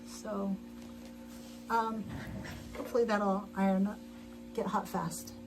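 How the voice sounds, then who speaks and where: A woman's voice: medium pitch, level tone, slow, over music, rough recording. A middle-aged woman chatting casually as she sews on a livestream, rambling with frequent restarts and laughs, in her sewing room at home. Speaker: livestream host. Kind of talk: casual chat while sewing.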